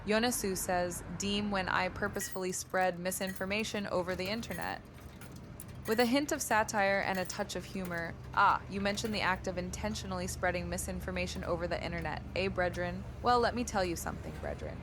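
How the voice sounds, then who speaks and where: A synthetic female text-to-speech voice reading viewer messages and its own overly formal replies in a flat way. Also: fairly low pitch, brisk, background noise.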